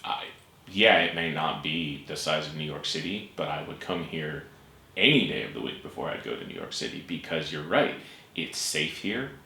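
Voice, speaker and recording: Low, bright-toned host. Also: male voice, animated, normal speed, creaky. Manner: warm, hesitant, many ums and restarts. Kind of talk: casual interview. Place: room with bookshelves, at a table. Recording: echoey room with faint hiss.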